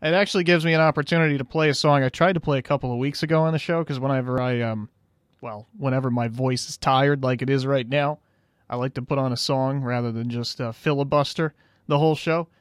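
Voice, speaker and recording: A man's voice: medium pitch, steady tone, quick pace, smooth, no echo, studio quality. A man hosting a call-in radio show in a casual, rambling way, his voice tired and fading. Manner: easygoing and rambling, with frequent "uh"s.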